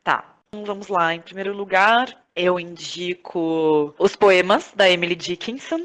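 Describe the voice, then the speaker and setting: Brazilian Portuguese woman, slightly low voice, podcast guest, informal, slangy, effusive conversation. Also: a little slow, a bit bright. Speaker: podcast guest, playwright, actress and director. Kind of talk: casual interview conversation.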